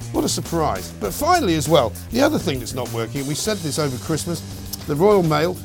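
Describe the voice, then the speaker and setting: A man with a British accent hosting a talk radio show, his voice scratchy and hoarse from a cold, talking in a brisk, sardonic and emphatic way. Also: a little high. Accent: British English. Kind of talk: talk radio monologue and interview.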